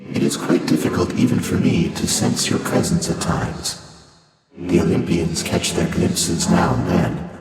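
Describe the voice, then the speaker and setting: A man voicing an ancient, detached primordial deity in a video game, speaking formally and deliberately with breathy, otherworldly layered processing. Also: deep voice. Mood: detached, observing. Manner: formal, measured, solemn, deliberate phrasing. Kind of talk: video game character dialogue. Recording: cavernous long reverb, loud game music behind.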